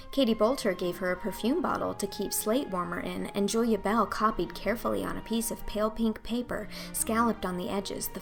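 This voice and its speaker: A woman's voice: mid-range voice, gravelly. A woman narrator reading a classic story aloud in a scripted audiobook style.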